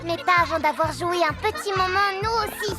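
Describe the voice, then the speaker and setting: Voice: extremely high pitch, fairly quick, over loud music. An actor voicing a playful young animal in a children's cartoon dub, with a childlike, simple delivery. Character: cheerful, playful little piglet and young animal friends.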